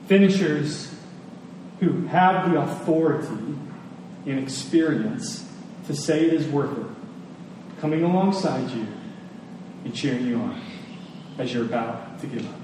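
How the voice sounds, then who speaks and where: A man giving a sermon in a conversational way, telling personal stories with emphatic repetition and pauses. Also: mid-range voice. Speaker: preacher. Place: large reverberant room with an audience. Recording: echoey hall, distant, faint noise.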